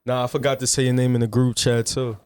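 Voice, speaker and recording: A man's voice: medium pitch, level tone, fast talker, a little mellow, smooth, dry recording. A man co-hosting a casual podcast chat, talking easily and stumbling over words now and then.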